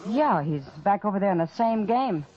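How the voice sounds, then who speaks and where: A worldly Old West saloon woman played by an actress, frank, with very lively intonation. The old radio recording is muffled. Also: medium pitch, moderate pace, close to an everyday voice. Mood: matter-of-fact. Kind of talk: old-time radio western acting.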